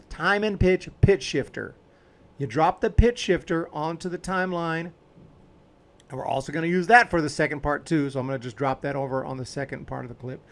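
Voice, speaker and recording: A man hosting a video editing tutorial, talking casually and informally as he explains each step while clicking along. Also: fairly high pitch.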